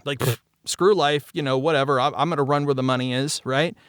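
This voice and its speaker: Male, middle-aged, mid pitch, smooth tone. Candid creator talk, emphatic, circles back on his words. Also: steady tone, a little fast, a bit bright, dry recording, studio quality. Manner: emphatic, repeats and circles back over phrases. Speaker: writer and creator of an audio drama. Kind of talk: cast discussion, candid personal reflection.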